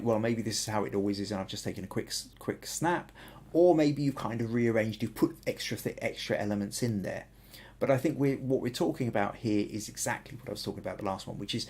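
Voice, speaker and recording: A man's voice: medium pitch, creaky, breathy edge. A middle-aged man with a Southern English accent, hosting a photography podcast and thinking aloud with restarts as he critiques photos. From England. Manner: thoughtful, rambling with restarts and fillers. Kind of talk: live photo critique and chat.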